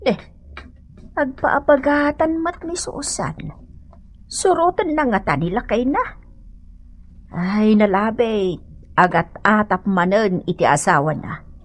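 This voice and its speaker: An actress playing an unhurried, gossipy neighbourhood woman in a comedy radio drama. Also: mid-range voice, expressive. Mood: sly, casual.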